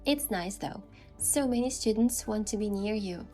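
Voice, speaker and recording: A woman's voice: medium pitch, moderate pace, warm tone, close to an everyday voice. A woman voicing a playful, affectionate young girlfriend in a romance game, coaxing and earnest, over music. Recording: music behind the voice.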